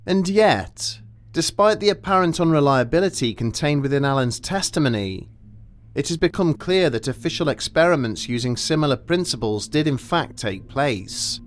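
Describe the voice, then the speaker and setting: A man narrating a scripted documentary story in a measured, grave and formal manner. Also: fairly high pitch, lively intonation.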